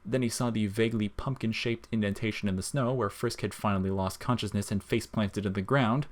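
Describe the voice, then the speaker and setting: A man's voice: on the low side, steady tone, brisk. A man reading scripted narration as the narrator of an audio story.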